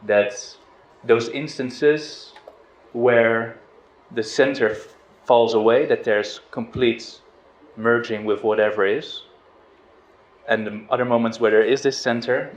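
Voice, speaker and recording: A middle-aged man from the Netherlands giving an informal spiritual talk, relaxed and hesitant, with restarts and soft laughs. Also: mid-range voice. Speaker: spiritual teacher. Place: outdoors on a river boat. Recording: roomy, dull lo-fi sound with faint noise.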